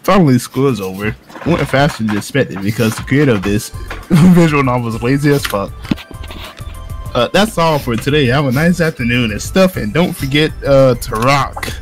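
A man's voice: mid-range voice, expressive, moderate pace. A man reading visual novel narration aloud in a casual, profane, joking way. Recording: faint music behind the voice.

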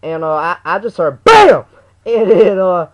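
A man's voice: high voice, big pitch swings, unhurried. A young man putting on a slangy, stammering, aggrieved customer for a prank phone call. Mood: agitated. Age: young adult. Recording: dull lo-fi webcam audio.

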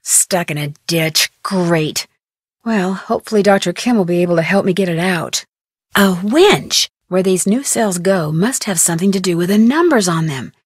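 A woman's voice: lowish voice, animated, normal speed, breathy edge, dry recording, studio quality. A woman voicing a plucky, curious teenage girl detective in a video game, wryly thinking aloud to herself. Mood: wry, musing. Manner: wry, curious, self-talking. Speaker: voice actor of a video game heroine.

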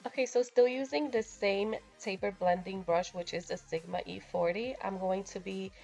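A woman's voice: medium pitch, steady tone, normal speed, vocal fry, darkish tone, highs cut off, faint music behind. A young woman with a nasal voice from a cold, talking casually through a makeup tutorial voice-over. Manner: casual, chatty, a little crude. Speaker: beauty vlogger.

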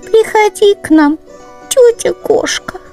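A woman's voice: extremely high pitch, lively intonation, slow pace, dark tone, faint music behind, voice pushed up, full character voice. A woman voicing an anxious mother mouse in a storybook, pleading in a sweet sing-song way.

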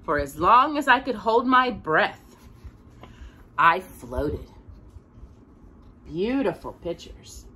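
A middle-aged woman hosts a children's storytime with a lively, swooping delivery. Her voice is bright and forward, and she takes her time through each phrase, leaning on important words with clear expression. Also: mid-range voice, slight background noise, low-quality recording. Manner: expressive, deliberate, with emphatic word stresses. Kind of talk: animated read-aloud of a picture book to a child. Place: small indoor room with bookshelves.

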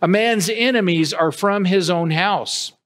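Middle-aged guy preaching at a church podium, highish voice, unhurried, keeps restarting his lines. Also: a bit bright. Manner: earnest preaching with wide arm gestures, frequent restarts. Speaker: pastor. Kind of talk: sermon. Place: church sanctuary with empty pews. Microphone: podium mic at a distance, far camera.

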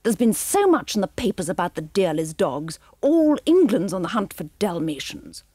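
A shrill, imperious villainess barks commands with gleeful cruelty, as a woman narrator puts her on. The voice leaps high and loud, swinging wildly in pitch, with a slightly husky edge in a dry room. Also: very animated, normal speed, no echo.

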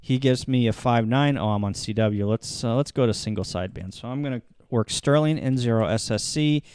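Casting: male tutorial presenter, smooth clear voice, mid pitch, casual step-by-step instruction, close-miked. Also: a little fast, darkish tone, dry recording. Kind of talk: casual software tutorial narration.

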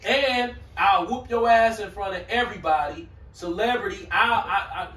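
A brash man with a high, bright voice, laughing and talking trash in a heated group talk. He sounds roomy and echoing, picked up by a mic set far away from him. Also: moderate pace. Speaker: church elder.

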